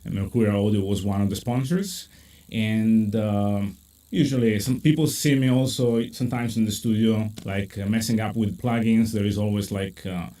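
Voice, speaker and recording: Italian-accented male host, live-stream chat, animated and chatty, filler-heavy. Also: normal speed. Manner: animated, many fillers, pitch jumps when excited. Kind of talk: casual video-call chat. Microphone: video call.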